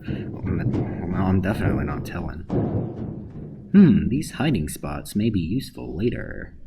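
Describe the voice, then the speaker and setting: A man playing a gruff, scheming worker at a secret facility in a radio play, with a grudging, muttering manner. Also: sits low, very animated, measured pace, noisy background, exaggerated voice. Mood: slyly curious.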